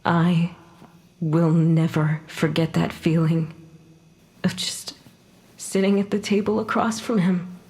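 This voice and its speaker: An actress playing the wounded, bitter ghost of a dead mother in an audio drama, speaking haltingly and with emotion. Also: fairly low pitch, a little mellow. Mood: anguished, tender. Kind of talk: naturalistic audio drama acting.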